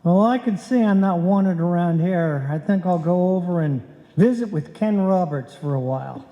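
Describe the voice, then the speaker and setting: A woman's voice: fairly low pitch, animated, moderate pace, warm tone. A woman playing a wry, pedantic husband who also narrates a live old-time radio comedy. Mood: put-upon. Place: live stage in a hall. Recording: dull echoey hall recording.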